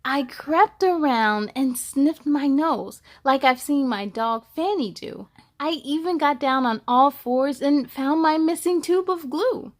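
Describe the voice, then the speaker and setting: A woman's voice: sits high, lively intonation, slow pace. A woman reading a children's picture book aloud expressively, with casual wondering asides for young listeners.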